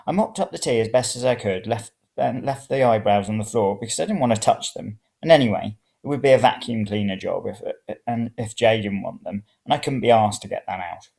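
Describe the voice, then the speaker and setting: A man's voice: fairly low pitch, brisk, creaky, few pauses, a little mellow. A man reading a first-person story aloud. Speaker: reader of serial fiction.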